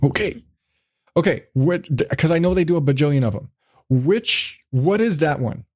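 A man's voice: on the high side, animated, moderate pace, husky, dry recording, clean studio sound. A man hosting a podcast, chatting in a casual, rambling way with jokey, self-deprecating, profane remarks. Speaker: podcast host. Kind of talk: casual, rambling interview chat.

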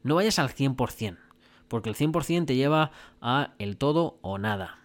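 A man's voice: medium pitch, animated, moderate pace. A man giving an earnest motivational monologue as a self-help podcast host, talking straight to the listener and swearing for emphasis. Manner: earnest, repetitive, addresses listener directly with "oye" and "mira".

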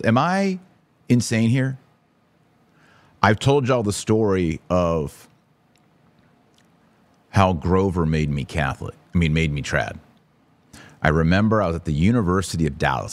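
Deep-voiced, very animated American man. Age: middle-aged. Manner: animated, emphatic, exasperated. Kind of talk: opinion commentary with anecdotes.

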